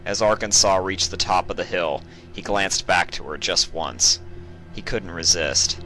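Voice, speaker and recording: Male story narrator, low register, measured dramatic delivery, prominent musical backing. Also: pushed and bright, soft background music, rough recording. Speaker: audiobook narrator.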